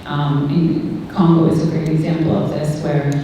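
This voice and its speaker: A woman answers as a medical panelist in a very echoey hall, picked up from a distance. Her voice is low and mellow, and her delivery is candid and informal. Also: little pitch movement, moderate pace. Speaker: humanitarian doctor speaking as a panelist. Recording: echoey hall, distant mic, faint noise.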